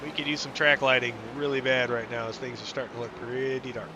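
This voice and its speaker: A man's voice: medium pitch, level tone, fast, noisy background. Congested, nasal man calling a sim race. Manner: chatty play-by-play, reading out lap times. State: congested, under the weather. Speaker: sim racing commentator. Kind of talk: live race broadcast commentary.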